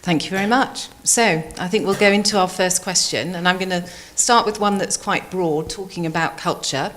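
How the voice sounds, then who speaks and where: Female moderator, lowish voice, crisp and efficient. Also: moderate pace, a bit bright. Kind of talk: conference panel moderation.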